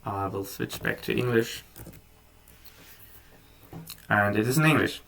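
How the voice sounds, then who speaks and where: A man whose first language is German, talking casually through a software tutorial, relaxed, with frequent ums and restarts. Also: on the low side, slow pace, gravelly, far from the mic. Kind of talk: casual screen-recorded tutorial.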